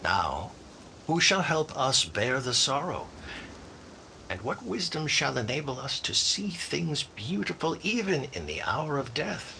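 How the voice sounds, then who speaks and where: A man's voice: on the high side, sing-song, unhurried, bright, forceful tone, exaggerated voice. A man playing a grave minister delivering a florid funeral eulogy in a radio drama. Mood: solemn. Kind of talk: solemn oratory, radio drama acting. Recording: clearly noisy background.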